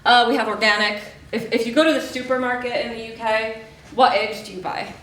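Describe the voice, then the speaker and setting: A woman's voice: fairly high pitch, fairly even intonation, a little fast, a bit bright. Young Mexican woman, American-sounding accent, chatty self-deprecating speaker, distant mic in an echoey hall. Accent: American-sounding English. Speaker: conference speaker, PhD researcher. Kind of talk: conference talk with jokes. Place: conference hall.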